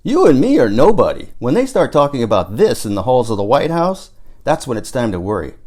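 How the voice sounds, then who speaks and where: A man voicing an excitable, conspiracy-minded amateur rocket schemer in audiobook dialogue, explaining things emphatically. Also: medium pitch, brisk. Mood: insistent. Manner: emphatic, explanatory, catchphrase-prone.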